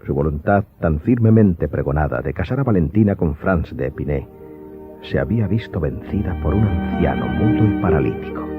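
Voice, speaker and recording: A man's voice: deep voice, lively intonation, moderate pace. A man delivering scripted narration for a radio drama audiobook, as the storyteller. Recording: muffled, narrow-band audio with faint music.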